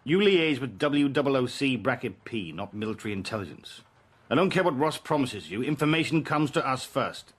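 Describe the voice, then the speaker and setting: An actor playing a wry, insubordinate working-class spy in a radio drama, blunt and sardonic in manner. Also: male voice, mid-range voice, lively intonation, brisk, breathy edge. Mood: curt, sardonic. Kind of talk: radio drama acting with first-person narration. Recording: broadcast radio drama with faint noise.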